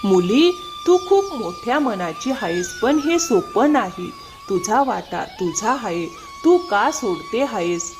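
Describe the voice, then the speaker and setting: A woman playing a warm, concerned village mother in an animated moral story, speaking in an expressive, emotional way. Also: a little high, takes it slowly, over music, full character voice.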